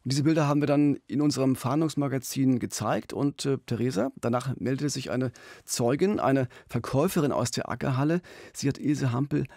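Middle-aged man, slightly creaky voice. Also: medium pitch, a little fast, darkish tone, no room sound. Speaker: podcast host. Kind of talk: true-crime podcast storytelling. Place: small radio studio. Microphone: close studio mic on boom arm.

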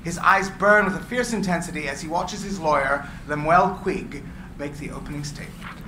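A man narrating stage directions at a live screenplay reading. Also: on the high side. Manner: brisk, matter-of-fact. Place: gallery room with seated audience. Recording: echoey room, clear hiss.

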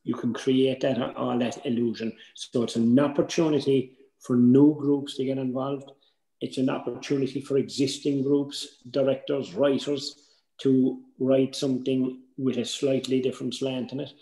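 An older Irish man talks informally to a workshop from a roomy indoor space on a video call. His voice is gravelly with plenty of fry, and he is earnest and emphatic, repeating himself to press a point. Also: mid-range voice, flat delivery, moderate pace, mellow.

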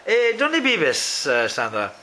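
Man, slow deliberate questions, lively pitch, faint hiss behind. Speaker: interviewer. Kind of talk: language-practice interview.

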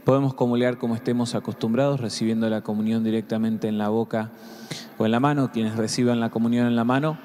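A young man with an Argentine accent, a priest reading prayers and giving communion instructions in measured tones. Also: mid-range voice, steady tone, a little mellow. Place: large church. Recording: long church reverb.

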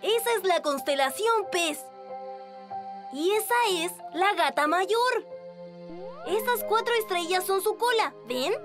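A woman voicing a curious, excitable kitten child in a children's cartoon dub, bubbly and eager, with a Latin American Spanish accent. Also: very high voice, takes it slowly, pauses often, exaggerated voice. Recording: music bed behind.

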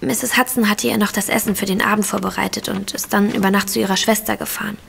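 A woman's voice: mid-range voice, steady tone, fast, creaky, a bit bright, slight background noise. An actress playing a bitter, outspoken woman of a household in a radio play. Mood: subdued. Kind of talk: German radio play acting.

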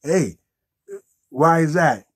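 A man hosts a puppet show in a casual, improvised way. His voice is husky and unpolished, that of someone in his thirties. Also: a little high, expressive, takes it slowly, fairly bright tone. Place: small indoor room with green backdrop.

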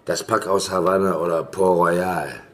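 A man's voice: low-pitched, fairly even intonation, a little slow, a bit bright. An actor playing a tipsy, garrulous seaman in a radio drama, rambling and repeating himself. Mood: gossiping.

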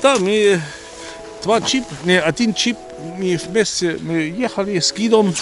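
Мужчина с немецким акцентом. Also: male voice, high-pitched, soft background music. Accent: German. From Germany. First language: German. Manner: halting, simple phrasing with small grammar slips. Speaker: guest hiker. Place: snowy forest outdoors.

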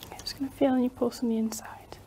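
A woman's voice: on the high side, flat delivery, rapid-fire, warm tone, in a whisper. A young adult woman working as a clinician, talking a patient through a physical exam step by step. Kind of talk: instructional clinical exam talk. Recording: faint background noise.

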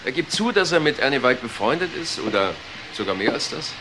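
A man playing a matter-of-fact junior police detective in a crime radio drama, reporting briskly in short factual phrases. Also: mid-range voice, sing-song. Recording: clear background noise.

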